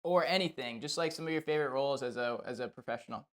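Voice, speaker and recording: A man's voice: medium pitch, quick pace, a bit bright. A man chatting casually as a podcast co-host, in a slangy, informal way. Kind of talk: casual chat.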